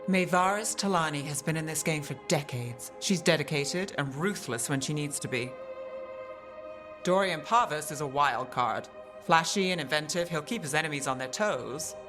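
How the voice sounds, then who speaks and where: A woman's voice: deep voice, expressive, moderate pace. A woman playing a hard-nosed, cynical detective in a video game, terse and matter-of-fact. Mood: firm. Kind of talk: video game character dialogue. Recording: music clearly behind the voice.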